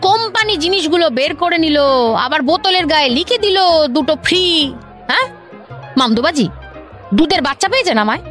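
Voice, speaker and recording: A woman's voice: very high-pitched, expressive, a little fast, pauses often, a bit bright, soft background music. A woman playing a haughty, hectoring government officer in a comic radio drama, interrogating and repeating her questions sharply. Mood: scolding, irate.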